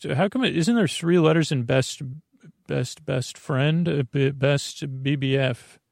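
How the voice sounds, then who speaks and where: A man hosting a bedtime podcast in a creaky, gravelly voice, rambling in a halting, meandering way and drifting into tangents mid-sentence. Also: mid-range voice, no room sound, studio quality. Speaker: podcast host. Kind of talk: rambling bedtime monologue for falling asleep.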